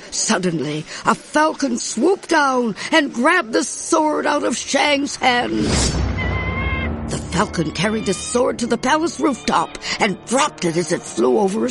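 A woman narrating a children's storybook as a scripted read-aloud. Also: a little high. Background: music.

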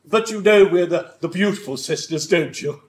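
Man doing a high-voiced, vain pantomime ugly sister, roomy stage. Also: normal speed, lightly acted. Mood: boastful. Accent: British. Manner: boastful, exaggerated, plays to the audience. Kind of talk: hammy British pantomime dame acting. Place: live amateur pantomime on a hall stage. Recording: roomy stage recording, noisy ambience.

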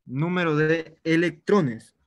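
A man's voice: on the high side, takes it slowly, no room sound. A man from Peru teaching an online chemistry review class, informal and repeating words as he writes out problems. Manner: informal, repeats words while writing on a board. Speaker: chemistry tutor.

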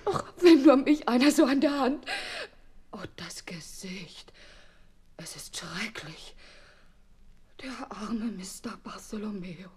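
An actress playing a melodramatic, gushing housekeeper in an audio drama, wailing and breathy in a theatrical way. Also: female voice, medium pitch, animated, slow pace, pauses often, a little mellow, heavily acted. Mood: horrified whisper. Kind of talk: German audio drama acting.

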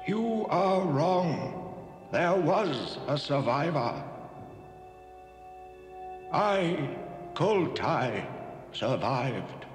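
A man playing a solemn, grieving alien survivor in a cartoon, grave, halting and dramatic. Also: on the high side, big pitch swings, exaggerated voice. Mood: solemn, insistent. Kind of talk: 1970s TV cartoon acting. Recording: cavernous reverb, loud cartoon music.

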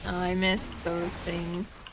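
A woman chatting casually while gaming with friends, playful, silly and excitable. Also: on the low side, takes it slowly, loud music behind the voice. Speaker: gamer in a group let's play series.

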